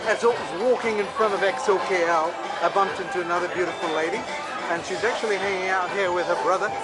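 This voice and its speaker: A man with a high voice runs cheerful street interviews, joking and teasing the people he stops. He is recording outdoors at night, and a dense, loud crowd roars behind him. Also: steady tone, fast, over loud music, rough recording. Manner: playful, teasing, upbeat. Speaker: vlog host interviewing passers-by.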